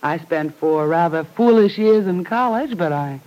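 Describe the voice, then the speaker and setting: A woman's voice: on the low side, lively intonation, moderate pace, lo-fi sound, natural voice. An actress playing a haughty, pushy young society woman with arch, mannered politeness in a 1940s radio soap opera. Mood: arch, calculating. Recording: old radio drama recording.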